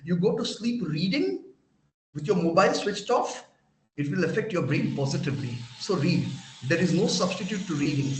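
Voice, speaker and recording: Indian English man, fairly high voice, lecturing a webinar with insistent emphasis, roomy echo. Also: fairly bright tone. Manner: emphatic, preachy, asks the audience rhetorical questions. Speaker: webinar guest speaker. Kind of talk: motivational lecture to students.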